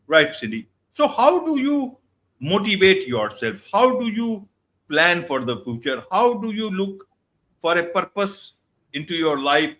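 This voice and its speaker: Man, interview host, animated pitch, bright tone, encouraging. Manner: encouraging, repeats affirmations. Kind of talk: interview questions.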